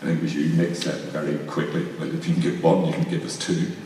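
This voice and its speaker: Very deep-voiced man in an echoey hall. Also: fairly even intonation, fast talker, warm tone. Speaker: panelist from a theatre project. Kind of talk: informal spoken recollection to an audience. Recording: echoey hall, distant mic, faint noise.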